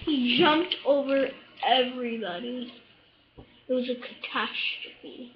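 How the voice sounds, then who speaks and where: A child reading a picture book aloud in a halting, expressive way, adding little asides to the listener. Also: sits high, background noise. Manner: halting, expressive, with drawn-out words and excited shouts. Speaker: storybook reader. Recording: echoey room, slightly dull sound.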